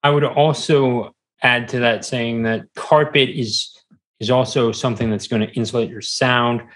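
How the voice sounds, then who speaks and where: A man speaking as a podcast guest in a casual, halting, mild-mannered conversational way. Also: medium pitch, steady tone, fairly bright tone, rough recording. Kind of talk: casual interview chat.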